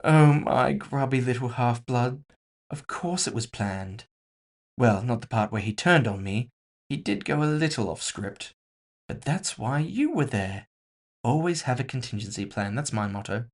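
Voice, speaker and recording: A man's voice: mid-range voice, expressive, measured pace. A man voicing a composed, villainous woman executive in audio fiction, casually polite, mocking and condescending. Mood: amused, condescending. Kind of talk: audio fiction character dialogue.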